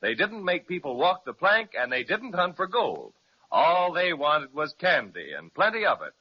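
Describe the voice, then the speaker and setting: A man's voice: a little high, husky. A man narrating a 1930s children's radio serial, speaking directly to young listeners in a storytelling style. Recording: muffled 1930s radio transcription.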